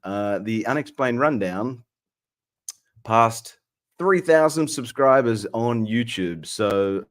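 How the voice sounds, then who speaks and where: An Australian man in his thirties, hoarse from a cold, hosting a chatty livestream with lots of fillers. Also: mid-range voice, lively intonation, slow. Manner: friendly, chatty, many fillers. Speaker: host. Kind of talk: casual livestream chat.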